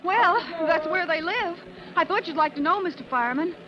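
A woman's voice: very high voice, lively intonation, moderate pace, crowd noise. An actress playing a flustered, chatty, easily offended tenement woman who rambles fussily, in old-time radio drama style. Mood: fretful. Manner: rambling, scatterbrained, breathlessly fussy. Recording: noisy, dull old radio recording.

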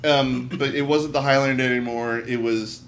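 A man's voice: medium pitch, fairly even intonation, moderate pace. A man talking casually as a panelist on a podcast. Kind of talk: casual chat. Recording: faint background hum.

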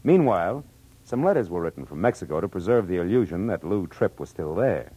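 A man's voice: low-pitched, sing-song, brisk, dark tone, slightly rough, exaggerated voice, rough recording. An American man playing a tough, world-weary private detective in 1940s radio drama, clipped and matter-of-fact. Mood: coolly accusing. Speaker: lead actor and narrator. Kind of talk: 1940s radio acting, first-person narration and dialogue. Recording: vintage radio drama with music.

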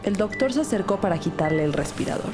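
A woman's voice: medium pitch, lively intonation, fast, over music, lightly acted. An actress playing an earnest rookie detective who narrates her case in a scripted audio drama. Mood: serious, intent. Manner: serious, storytelling.

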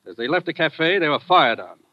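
An actor playing a stern, businesslike police commissioner in a 1940s radio drama, on a dull old radio recording. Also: male voice, on the high side, sing-song, no room sound. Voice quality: brisk, businesslike.

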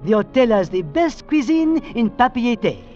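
An actor playing a polite, deferential French waiter with a French accent and an eager, formal manner in an old-time radio drama. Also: male voice, very high-pitched, big pitch swings, a little slow, darkish tone, exaggerated voice. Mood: obliging, discreet. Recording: muffled old radio recording, faint music.

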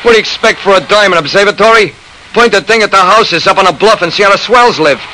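An actor playing a gruff, streetwise, impatient city tough in an old-time radio crime drama, with slangy, brusque speech. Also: male voice, high voice, fast, sharp tone. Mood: gruff, dismissive. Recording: noisy vintage radio recording.

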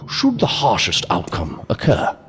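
A man's voice: fairly low pitch, wildly swooping pitch, a little slow, fairly bright tone, heavily acted. A man playing a sinister, courtly, inhuman villain in an audio drama, verbose and mockingly polite in a mannered, theatrical way. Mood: quietly menacing. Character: sinister, courtly, unhurried villain, inhuman interrogator posing as a gentleman. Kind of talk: science fiction audio drama acting.

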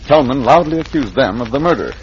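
An American man narrating a 1940s radio serial with dramatic, crisp storytelling. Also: medium pitch, lively intonation, quick pace, a little hiss or hum. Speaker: announcer and narrator. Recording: muffled vintage radio, faint organ music.

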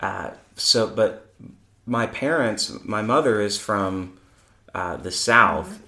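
A woman's voice: rumbling low, lively intonation, takes it slowly, pushed and bright. Chatty woman host, echoey room. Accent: neutral American, hard to place. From Chicago. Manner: chatty, laughs often, acts out voices. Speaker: podcast host. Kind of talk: casual church interview chat.

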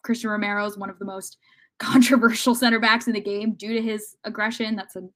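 Female sports podcast panelist, analytical and conversational, with halting, self-revising phrasing. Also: mid-range voice, level tone, a bit bright. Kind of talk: casual football discussion.

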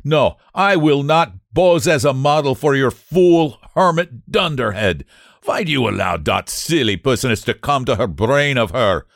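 A man voicing a fierce little old painter with a German accent, gruff and loud. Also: medium pitch, animated, measured pace, no echo, clean studio sound, pitched above the performer's own voice. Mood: contemptuous.

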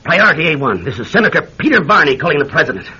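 An actor playing a pompous, bullying senior senator with a raspy, blustering delivery in a classic radio drama. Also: male voice, on the high side, sing-song, racing, pushed and bright, full character voice. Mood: insistent. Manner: blustering, imperious. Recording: dull old radio drama recording.

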